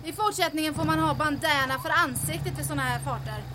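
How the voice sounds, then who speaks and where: A woman's voice: sits high, noisy background, lightly acted. A woman playing a spirited, practical young woman in an audio drama, eager and exclamatory as she bickers with her companion.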